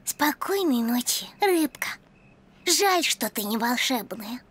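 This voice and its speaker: A woman's voice: high voice, big pitch swings, takes it slowly, heavily acted. A woman voicing a small, trusting little boy puppy in a cartoon, childlike, wide-eyed and pleading. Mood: wistful, pleading. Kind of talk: animated cartoon acting.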